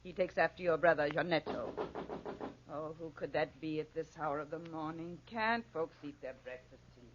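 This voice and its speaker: A woman in a 1940s radio drama playing a sharp, nagging, complaining farm wife and mother. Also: low-pitched, normal speed, natural voice. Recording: muffled, noisy 1940s radio recording.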